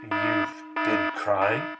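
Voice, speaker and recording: A man voicing a tired, gentle husband with a subdued, plain manner in a dramatic story reading. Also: fairly low pitch, level tone, very slow, over loud music, pitched below the performer's own voice. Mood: weary, tender.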